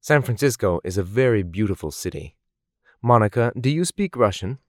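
A man reading scripted English course dialogues in a slow, clearly articulated, measured way. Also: on the low side, lively intonation, a little mellow, no room sound, studio quality. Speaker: language course voice actor.

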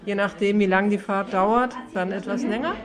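A woman's voice: medium pitch, steady tone, normal speed. Woman artist interviewed over crowd murmur. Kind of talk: casual interview answers. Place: small shop or gallery indoors. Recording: busy background chatter, recorded on location.

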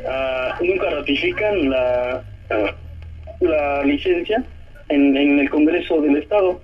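A man from Oaxaca with a southern Mexican accent gives a halting spoken testimony by telephone, often adding short tag questions. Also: a little high. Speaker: detained community activist giving a statement. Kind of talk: spoken testimony by phone to a press conference. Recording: muffled, narrow-band telephone line.

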